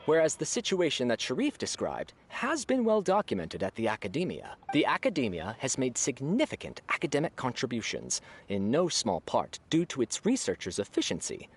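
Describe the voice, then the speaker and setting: A man's voice: medium pitch, huge pitch swings, a little fast, few pauses, husky. A man voicing a stern male debate judge in a game, who speaks in a formal, authoritative way. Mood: judicious. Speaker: debate moderator.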